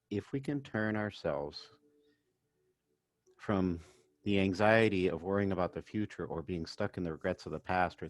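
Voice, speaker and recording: A man working as a meditation coach, narrating a guided session in gentle, measured phrases with pauses. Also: low-pitched. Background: background music.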